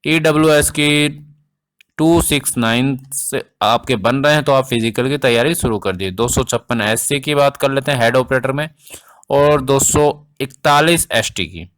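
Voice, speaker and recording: A man's voice: mid-range voice, moderate pace, fairly bright tone, clean tone. A man explaining exam updates informally as a coaching teacher, addressing students directly and repeating points for emphasis.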